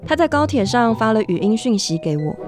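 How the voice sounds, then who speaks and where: An actress playing a candid, flighty woman who narrates a radio drama about her friend in an expressive, confiding way. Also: fairly high pitch, brisk. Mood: reflective, wistful. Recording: music bed under the voice.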